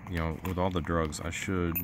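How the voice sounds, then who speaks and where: A man in his thirties digging through a storage unit outdoors, talking excitedly to his phone camera in a casual vlog. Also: low voice, moderate pace. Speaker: storage unit treasure hunter. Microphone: handheld phone camera. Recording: noisy outdoor phone recording.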